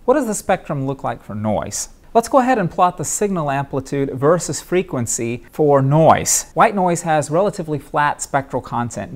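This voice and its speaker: Young male professor, calm step-by-step lesson, lapel mic in a lab. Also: on the high side. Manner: calm, methodical, asks and answers own questions. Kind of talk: explaining with drawings. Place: electronics lab, indoors.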